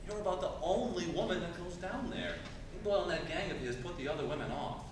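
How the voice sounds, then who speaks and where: A man's voice: sits high, fast, natural voice. A man playing an earnest, uneasy sculptor and sweetheart in a stage play, speaking hesitantly with a testy edge. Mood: mild, affectionate. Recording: very echoey, noisy stage recording from far off.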